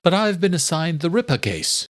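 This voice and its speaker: A man voicing a calm, protective lawman with a secretive side in an audiobook thriller. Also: fairly high pitch, moderate pace, no room sound, studio quality, pitched above the performer's own voice. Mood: reassuring.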